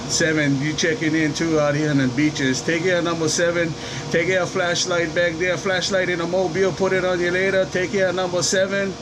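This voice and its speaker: A man with a slightly high voice works a CB radio. He repeats call signs and slangy sign-offs as he chats. Loud vehicle and air-conditioning noise runs behind him throughout. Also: flat delivery, moderate pace. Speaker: CB radio operator. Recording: very noisy, engine and air-conditioning hum.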